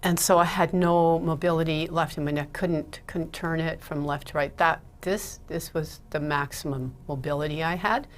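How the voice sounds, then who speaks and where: A woman's voice: low voice, fairly even intonation, normal speed, few pauses. A middle-aged woman from Ontario, Canada, a guest in an interview, talking casually and laughing between her thoughts. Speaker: guest, Mitzvah Technique teacher.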